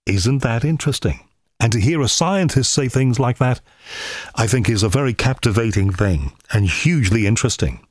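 A man hosting a podcast, chatty and enthusiastic, filling pauses with frequent uhs as he introduces his guest. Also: mid-range voice, animated, dry recording, clean studio sound. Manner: chatty, enthusiastic, many ums. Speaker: podcast host. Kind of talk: scripted podcast introduction and interview. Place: cold room at home.

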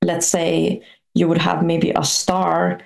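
Non-native woman scientist, lowish gravelly voice, hesitant interview answers. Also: monotone, slow, poor audio. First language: not English. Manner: hesitant, many uhs, curious. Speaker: guest astrophysicist.

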